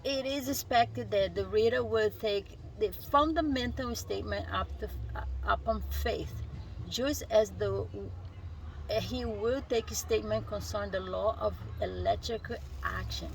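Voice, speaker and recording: A woman with a Brazilian Portuguese accent, a native Portuguese speaker, reads a book aloud outdoors while sitting on a rock. She reads haltingly, stumbles and restarts on words, and adds casual asides. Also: mid-range voice, creaky, slight background noise, poor audio. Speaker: vlogger reading a self-help book.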